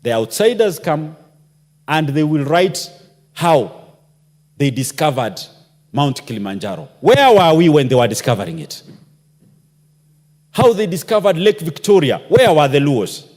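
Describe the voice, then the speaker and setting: A man addresses parliament with passion and keeps throwing out rhetorical questions. His voice sits a little high and swings widely in pitch. The long reverb of a large chamber hangs behind every word. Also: sing-song, unhurried, long pauses, darkish tone. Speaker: member of parliament. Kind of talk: parliamentary debate speech.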